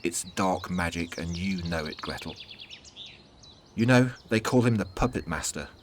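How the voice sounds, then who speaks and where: A man voicing a forthright, sharp-tongued older woman with magic powers in a fantasy audio story. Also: sits low, expressive, unhurried, noisy background. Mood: angry. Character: stern, playful older woman, protective grandmother with magic.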